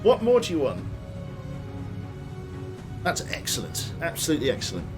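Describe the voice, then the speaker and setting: A man giving gameplay commentary as a streamer, excitable and casually swearing, his voice going a little hoarse. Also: fairly high pitch, moderate pace, over music. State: losing his voice.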